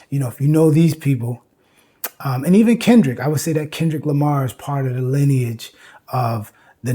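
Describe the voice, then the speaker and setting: Husky American man in his fifties. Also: mid-range voice, dark tone. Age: 50s. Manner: emphatic, repeats phrases for emphasis. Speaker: video essay host. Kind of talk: informal educational commentary.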